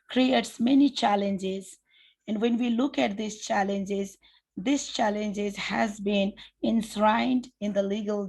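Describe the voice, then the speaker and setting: A woman with a Nepali accent moderates an online conference session in English. Her voice carries a gravelly edge at a middle pitch, and she speaks in a formal, measured way, reading prepared remarks with the odd restart. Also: a little mellow.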